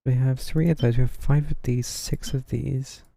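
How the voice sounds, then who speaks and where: A man's voice: medium pitch, fairly even intonation, normal speed, creaky, dark tone, no room sound. A man streaming a game, giving casual live commentary and muttering half to himself.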